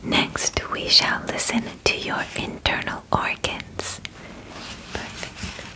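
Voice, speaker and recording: Young woman, breathy whisper, extremely slow pace, calm clinical phrasing, close-mic ASMR roleplay. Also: poor audio. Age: young adult. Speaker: ASMR performer. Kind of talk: ASMR alien examination roleplay. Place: small bedroom, indoors. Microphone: close microphone, out of frame.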